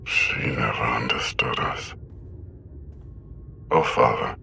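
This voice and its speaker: A menacing game-villain emperor, extremely low and full of gravel. He speaks with grandiose, cold theatricality and a forceful, sharp edge. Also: slow, whispered, heavily acted. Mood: brooding. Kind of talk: dramatic video game acting.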